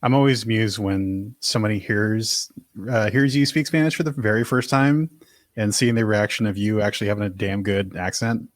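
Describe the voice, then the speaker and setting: A man from the United States hosting a podcast, asking short questions in a relaxed, joking way. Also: on the low side, moderate pace, no room sound, clean studio sound. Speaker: podcast host. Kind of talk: casual podcast interview.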